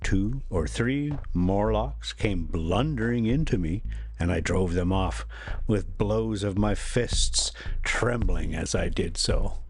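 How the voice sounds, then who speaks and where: A man's voice: low voice, slow, slight background noise. A man with an informal, folksy manner, hosting and telling stories, moving between casual chat and reading a classic novel aloud. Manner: informal, folksy, lightly profane. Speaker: host and storyteller. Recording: music behind the voice. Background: music.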